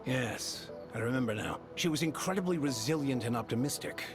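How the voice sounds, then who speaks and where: An actor playing a composed, authoritative male scientist in a video game, explaining grim matters in formal, measured, articulate speech. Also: medium pitch, lively intonation, close to an everyday voice. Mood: somber, reflective. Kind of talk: scripted game dialogue, formal exposition. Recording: game music behind the voice.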